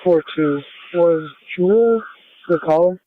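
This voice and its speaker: A man's voice: fairly high pitch, takes it slowly, no room sound. A man making terse emergency calls over a two-way radio. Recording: tinny narrow-band scanner radio.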